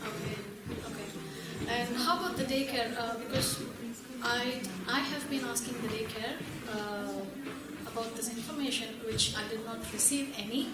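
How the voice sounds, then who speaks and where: A woman's voice: fairly high pitch, normal speed. A mother in the audience asking hesitant questions about her daughter's schooling. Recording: echoey hall, distant mic, loud noise.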